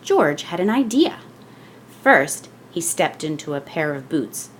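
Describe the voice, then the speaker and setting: A woman reading a children's picture book aloud as scripted narration, with expressive storytelling delivery. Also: low voice, unhurried, a little hiss or hum.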